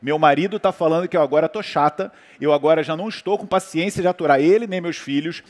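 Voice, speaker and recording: A man's voice: fairly high pitch, fast. A man from Brazil with a Brazilian Portuguese accent, a physician talking casually on a panel, rambling with lots of fillers and restarts. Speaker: physician guest speaker. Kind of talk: conversational talk on a panel. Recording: hall reverb.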